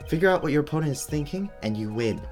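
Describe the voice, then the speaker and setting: Guy doing a chill mysterious older mentor from a game, measured and knowing, lively pitch, music under. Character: cool, cryptic older male mentor, former lawyer and poker player. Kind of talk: video game dialogue read aloud.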